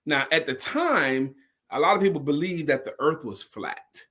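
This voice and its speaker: A man's voice: a little high, expressive, normal speed, pushed and bright. A man telling a story in an informal, conversational way, emphatic and repeating key phrases to drive them home. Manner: acts out dialogue in his own retelling. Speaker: storyteller and sales speaker.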